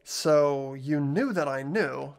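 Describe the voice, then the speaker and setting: A man's voice: fairly high pitch, slow, gravelly, voice pushed down. A man voicing an earnest, determined teenage boy in a visual novel game, with emphatic delivery. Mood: earnest, concerned.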